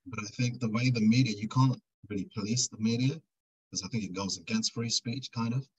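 A man's voice: mid-range voice, a little fast, husky, low-quality recording. A middle-aged man, a documentary maker, talking thoughtfully as a guest over a video call. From Britain. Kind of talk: casual interview chat.

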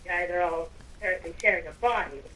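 A woman's voice: on the low side, fast, pushed and bright, rough recording. A woman improvising in-character roleplay during a video game, with theatrical, stiffly formal phrasing. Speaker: Minecraft roleplay player.

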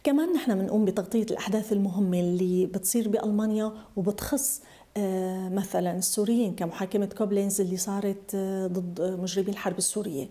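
A woman's voice: medium pitch, fairly even intonation, rapid-fire, dark tone. A middle-aged woman journalist with a Syrian Arabic accent, speaking in a documentary interview.

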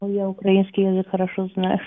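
A woman's voice: mid-range voice, completely flat delivery, fast, no room sound. Woman on a tinny phone line. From Donetsk, eastern Ukraine. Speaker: caller, schoolteacher of Russian. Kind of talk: unscripted phone conversation. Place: phone call.

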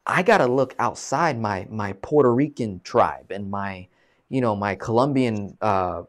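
A man in his thirties hosts a live video-call interview in a casual, animated way, leaning on fillers and restarts. His voice sits a little low. It is picked up by a webcam mic in a small room. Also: unhurried. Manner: animated, gestures a lot, many fillers. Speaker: host. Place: small room, plain green wall.

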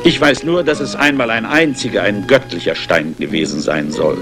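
An actor playing a courteous, guarded English aristocrat investor in a 1960s German crime radio play. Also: male voice, fairly low pitch, moderate pace, over music, natural voice. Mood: polite. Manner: courteous, urbane.

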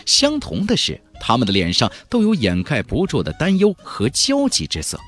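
Male audiobook narrator, very animated delivery with a husky edge, faint music underneath. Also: medium pitch, normal speed. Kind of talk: dramatic scripted narration of a fantasy novel.

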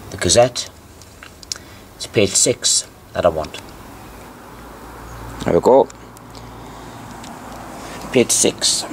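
Low-voiced man reading aloud, noisy recording. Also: lively intonation. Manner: deliberate, repeats phrases for emphasis. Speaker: amateur video presenter. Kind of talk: informal show-and-tell narration, reading aloud from newspapers.

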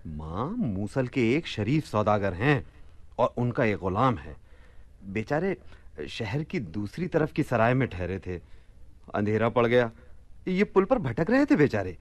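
An actor playing a hospitable, outspoken son with a courteous, animated manner in a radio play. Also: male voice, medium pitch, a little slow. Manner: courteous, animated, indignant about injustice. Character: hospitable, outspoken son of a widow, Abul Hasan. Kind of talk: Hindi radio play acting.

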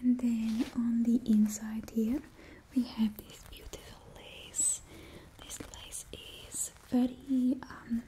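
A woman's voice: a little high, flat delivery, slow pace, dark tone, poor audio. A woman in her twenties whispering closely in a put-on Russian accent, as the host of an ASMR roleplay. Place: indoors in front of a studio backdrop. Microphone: close microphone.

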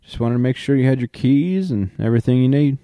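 Man doing a calm drawling sheriff. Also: mid-range voice, quick pace, dry recording, voice pushed up, close to an everyday voice. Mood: easygoing. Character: unhurried Southern county sheriff, middle-aged man.

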